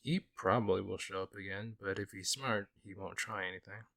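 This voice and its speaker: Low-voiced, teasing man as a friendly male werewolf. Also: fairly even intonation, a little fast, creaky, a bit bright. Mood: reassuring, protective. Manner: playful, teasing, casual talk to the listener. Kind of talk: ASMR audio roleplay.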